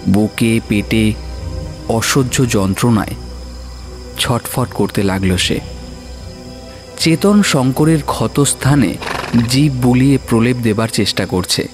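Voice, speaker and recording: A male narrator tells a horror story in a slow, measured delivery. His mid-pitched voice is dramatic and suspenseful, set over faint music.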